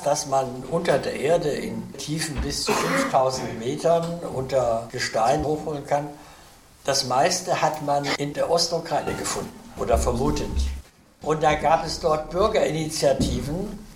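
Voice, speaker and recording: A man from Cologne answering interview questions in a measured, lecturing way, with asides and restarts. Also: a little high, roomy sound, slight background noise. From Cologne, Germany. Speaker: interview guest.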